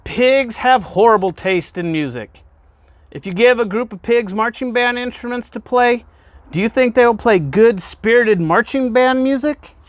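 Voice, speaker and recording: A man reading a children's picture book aloud in an expressive storytelling way, with emphatic pauses and questions put to the listener. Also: extremely high pitch, dry recording. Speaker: guest reader, fire department deputy chief.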